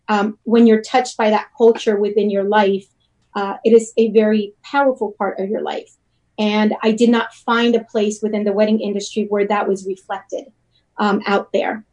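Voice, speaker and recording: A woman's voice: medium pitch, warm tone, low-quality recording. A woman from Puerto Rico talking casually as an interview guest, explaining her work. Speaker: interview guest, wedding photographer and blogger.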